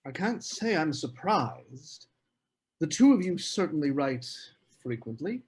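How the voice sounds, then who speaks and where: Man acting a husky, brooding novelist. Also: a little high, very animated, a little slow, heavily acted. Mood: cool, deliberate. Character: brooding male novelist, cool and bitter in marriage. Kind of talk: screenplay table read.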